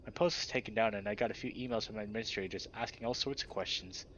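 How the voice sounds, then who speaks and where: A man's voice: fairly low pitch, quick pace, a bit bright, highs cut off, slight background noise. A man reading first-person visual novel narration in a dramatic, scripted storytelling style.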